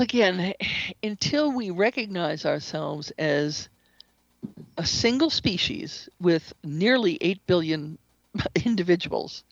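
A woman speaking as an interview guest, explaining spiritual ideas in an earnest, measured way. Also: fairly low pitch, vocal fry, no room sound, dull audio. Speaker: interview guest, shamanic practitioner and author.

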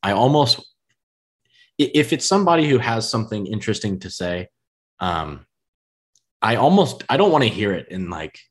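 A man's voice: on the low side, sing-song, moderate pace, pauses often. A man from the States with a country-leaning American accent, hosting a podcast in an easygoing way and often repeating words as he talks. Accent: rural American. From United States. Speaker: podcast host. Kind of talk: casual chat.

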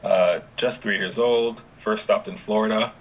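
A young adult man with no regional accent, chatting relaxed with many fillers as a podcast guest. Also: on the low side, unhurried, rough recording. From Dominica, raised in Houston, Texas. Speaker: podcast guest, app founder. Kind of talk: casual interview conversation.

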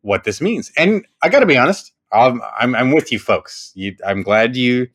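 Male podcast co-host, average pitch, joking, earnest rant. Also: expressive, moderate pace, fairly bright tone, breathy edge. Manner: wisecracking, swears freely, earnest when ranting. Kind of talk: casual banter podcast. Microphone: home podcast microphone.

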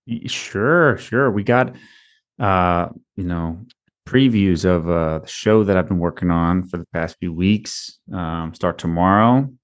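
A man co-hosting a casual podcast, his voice a little raspy, rambling and restarting as he talks. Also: low-pitched, measured pace. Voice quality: slightly raspy. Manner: rambling, restarts mid-sentence. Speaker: podcast co-host. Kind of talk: casual sports podcast chat.

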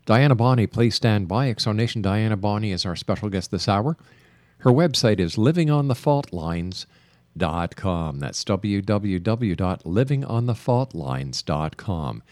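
A man with a low voice hosting a radio interview show, talking in an earnest, measured way. He speeds up for station announcements, and the sound is dry and close. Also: lively intonation, a little mellow, dry recording. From Canada. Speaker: radio talk show host.

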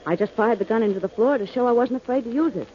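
A woman's voice: on the high side, quick pace, lightly acted. A spirited young heroine of an old radio mystery, played by a woman. She is fond yet a little peevish, heard on a muffled recording with faint noise. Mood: defensive. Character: plucky young woman hiding out, affectionate, peevish. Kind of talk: 1940s radio acting.

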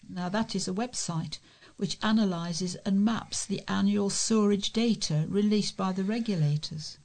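British female volunteer newsreader, average pitch, reading local stories from a script. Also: a little mellow. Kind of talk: reading local news aloud.

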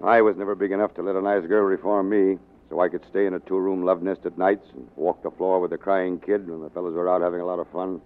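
An actor playing a tyrannical, scheming newspaper managing editor in a sarcastic, bossy 1940s radio drama style. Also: male voice, low voice, little pitch movement, natural voice. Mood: mock sympathy. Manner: sarcastic, bossy, fast-switching from barking to wheedling. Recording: muffled narrow-band 1940s radio recording.

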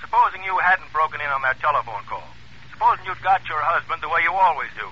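An actor playing a dismissive, wearily impatient New York police desk sergeant in a 1940s American radio thriller. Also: male voice, on the high side, expressive, fast talker, sharp tone, slightly rough, exaggerated voice. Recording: muffled vintage radio recording, telephone drama.

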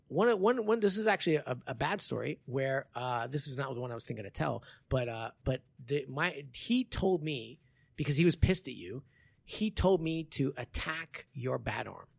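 A man's voice: medium pitch, creaky, dry recording. A man in his forties hosting a podcast, talking casually and rambling with many restarts about his jiu-jitsu training. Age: 40s. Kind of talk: casual conversation.

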